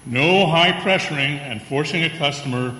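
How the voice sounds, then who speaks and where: A man plays a brisk, commanding store tycoon in old-time radio style. His voice is fairly high and forceful, echoing in a big hall. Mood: brisk, emphatic. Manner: emphatic, decisive. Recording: echoey reverberant hall.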